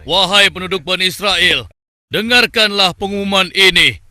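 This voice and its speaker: A man's voice: high-pitched, normal speed, pushed and bright, dry recording, heavily acted. A man voicing a stern army herald in a cartoon, proclaiming in formal words. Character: stern army herald making public announcements. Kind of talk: Islamic cartoon dubbing.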